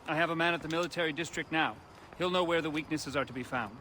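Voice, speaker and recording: An actor playing a determined revolutionary leader in a video game, speaking in an earnest, oratorical way. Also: male voice, on the high side, fast talker, sharp tone, slight background noise. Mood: confident. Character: determined male revolutionary leader plotting against a tyrant.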